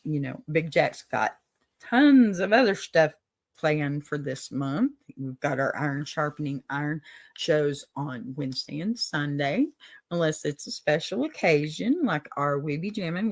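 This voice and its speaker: A middle-aged woman with a Texas drawl speaks straight to her livestream viewers, folksy and motherly, calling them honey and y'all. Her voice sits a little low for a woman. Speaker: advice show host. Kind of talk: warm encouraging livestream advice talk.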